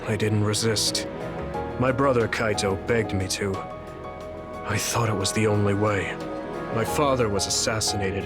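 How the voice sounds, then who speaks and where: An actor playing a weary, blind old retired hero in a video game, speaking gravely and slowly measured, full of regret. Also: male voice, fairly low pitch, lightly acted. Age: older. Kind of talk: dramatic video game voice acting.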